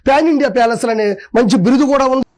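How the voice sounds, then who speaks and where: High-pitched, mocking woman. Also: rapid-fire, a crowd in the background. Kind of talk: sarcastic political commentary.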